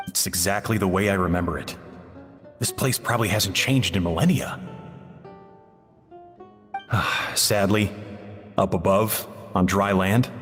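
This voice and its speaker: An actor playing a regretful, self-doubting man in a video game, recounting memories in a wistful, measured, reflective monologue. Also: sits low, a bit bright. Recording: long reverberant hall effect.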